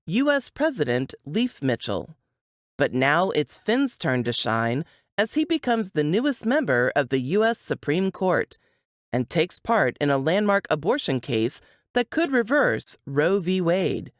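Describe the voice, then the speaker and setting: An adult reader delivers a suspense-novel blurb as dramatic, scripted narration. The pitch moves in wide, lively arcs, and the sound is close and dry with no room echo.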